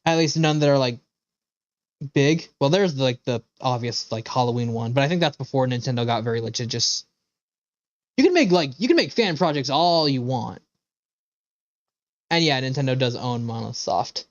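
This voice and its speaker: A man's voice: medium pitch, lively intonation, moderate pace, pauses often, no room sound, lo-fi sound. A man chatting casually as a game streamer, rambling and opinionated, with plenty of swearing. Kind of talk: casual live stream chat with viewers.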